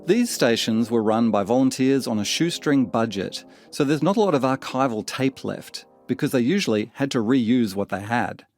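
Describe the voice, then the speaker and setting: A man's voice: medium pitch, lively intonation, normal speed, hardly any pauses, a little mellow, faint music behind. A man hosting and reading scripted documentary narration. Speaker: podcast host, narrator.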